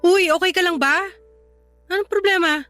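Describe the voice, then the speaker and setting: A very high-pitched young Filipino woman in a radio drama, set over music. She is sharp-tongued and defiant, arguing with sarcasm and indignation as a resentful overseas worker. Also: normal speed. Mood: surprised, casual. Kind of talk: Tagalog radio drama acting. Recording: music behind the voice.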